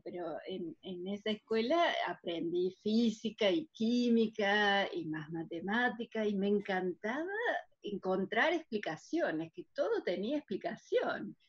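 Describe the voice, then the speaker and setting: An Argentine woman talks as an interview guest in a medium-pitched voice. Her delivery is cheerful and conversational, like personal reminiscence. The audio is a bit dull and low-fidelity. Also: expressive, unhurried, a little mellow, breathy edge. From Córdoba, Argentina. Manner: cheerful, chuckles between phrases.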